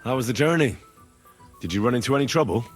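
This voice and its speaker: Young knightly hero, male actor, game cutscene: polite and sincere, animated, slightly rough voice. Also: medium pitch, quick pace, faint music behind, natural voice. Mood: conversational. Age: young adult. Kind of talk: naturalistic video game cutscene acting.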